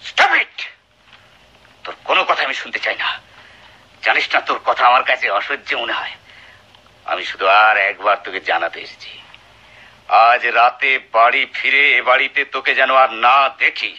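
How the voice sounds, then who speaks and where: Cruel husband, hard cutting voice, swooping intonation, sneering taunts, radio drama, dull recording. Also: male voice, mid-range voice, animated, normal speed, long pauses. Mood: furious, threatening. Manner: sneering, drawling taunts and sharp commands. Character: cruel, contemptuous husband with a violent temper. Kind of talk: Bengali radio drama acting.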